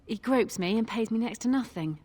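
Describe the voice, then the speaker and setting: World-weary, higher-voiced tavern barmaid. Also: female voice, animated, brisk, a little mellow. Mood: resigned, bitter. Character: weary, resigned tavern barmaid, downtrodden but decent. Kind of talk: video game voice acting.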